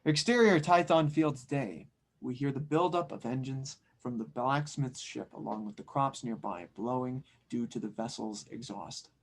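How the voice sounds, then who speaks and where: A man's voice: mid-range voice, normal speed. Casting: man with a mid-range voice as narrator, reading scene directions for an audio drama. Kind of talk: scripted narration, table read.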